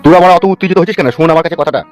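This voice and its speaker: A man's voice: on the high side, fairly even intonation, rapid-fire, natural voice. A man playing a lecherous, bullying village politician in a Bengali cartoon, brusque and domineering, with a rural West Bengal accent. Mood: scheming, coaxing. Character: lecherous, bullying male village politician, foul-mouthed and scheming. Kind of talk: crude comic cartoon dialogue. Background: background music.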